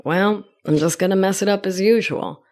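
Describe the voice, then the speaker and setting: A woman's voice: medium pitch, normal speed, darkish tone. A middle-aged woman hosting a coaching podcast, talking in a playful, affectionate way with pet names and nerdy asides. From Argentina. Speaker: podcast host and life coach. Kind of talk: warm coaching monologue.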